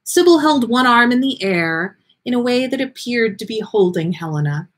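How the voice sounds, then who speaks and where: A woman hosting a story livestream, reading aloud and chatting in an expressive, effusive way. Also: fairly high pitch, normal speed. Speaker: podcast host reading listener stories.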